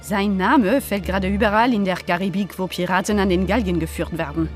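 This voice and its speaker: A woman's voice: mid-range voice, a little fast, natural voice. An actress playing a French-speaking woman who brings grim news, emphatic and grave, in a German radio drama. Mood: grave, insistent. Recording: studio radio play with prominent music.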